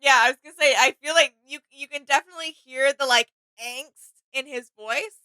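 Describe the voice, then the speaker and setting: A woman's voice: high voice, brisk, sharp tone, low-quality recording. A woman co-hosting a reaction video, chatting casually about what she hears.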